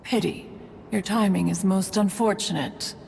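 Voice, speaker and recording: A woman's voice: medium pitch, unhurried, vocal fry, a little mellow, a little hiss or hum. An actress playing a proud, refined immortal woman in a game, speaking in formal, archaic phrases and referring to herself as "one". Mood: pleased, formal. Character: proud, refined immortal adeptus woman, haughty yet fussy. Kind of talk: scripted game dialogue. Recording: long reverb, music behind.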